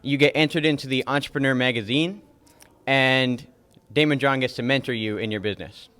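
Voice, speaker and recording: A man's voice: medium pitch, fairly quick, fairly bright tone. A teenage boy being interviewed as a student entrepreneur, explaining things in an easygoing way. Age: teens.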